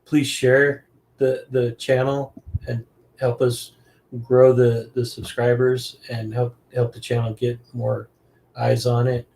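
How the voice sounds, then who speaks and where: A man's voice: medium pitch, level tone, slow pace, gravelly, darkish tone, low-quality recording. A man hosting a podcast, chatting in an easygoing way and often calling people "man". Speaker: podcast host. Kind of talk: casual interview chat.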